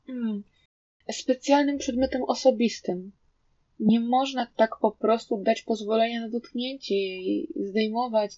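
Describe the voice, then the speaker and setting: Lady doing a shy polite teen boy disciple, quiet n halting, careful with words, dull lo-fi audio. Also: on the high side, natural voice. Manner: hesitant, soft-spoken, halting.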